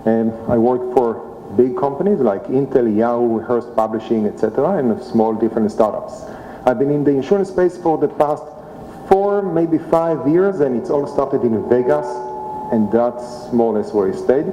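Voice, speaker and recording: A man with a mellow voice talks on a conference panel, drifting into loose anecdotes. His voice echoes in a large hall with long reverb, over a faint room murmur. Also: medium pitch, lively intonation, normal speed, slight background noise. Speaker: startup founder, panelist. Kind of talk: panel talk.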